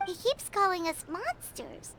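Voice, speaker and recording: A woman voicing a tiny, chirpy, childlike fairy companion in a video game, earnest and excitable. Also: unhurried, heavy background noise, exaggerated voice. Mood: puzzled, worried. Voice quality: squeaky, childlike. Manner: refers to self in third person, earnest. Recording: game dialogue under loud music.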